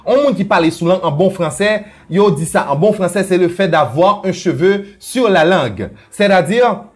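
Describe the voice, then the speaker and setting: A man with a Haitian Creole accent teaching a playful video lesson, often dropping into low asides and jumping high for quoted voices. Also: expressive, normal speed. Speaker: language teacher.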